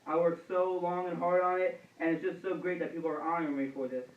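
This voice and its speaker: A man's voice: on the high side, flat delivery, fast, lo-fi sound, natural voice. A young man playing a cheerful, self-assured 1920s film star in a scripted radio interview. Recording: echoey room, distant mic, dulled.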